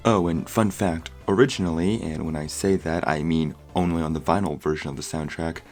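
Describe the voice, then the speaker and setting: A man's voice: a bass voice, steady tone, a little fast, a little mellow. A man giving opinionated film review commentary as a video host, stretching key words for emphasis. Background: music under the voice.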